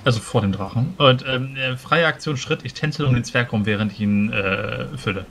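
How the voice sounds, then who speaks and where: A man's voice: mid-range voice, moderate pace, creaky, noisy background. A man talking casually as a player in a tabletop role-playing game.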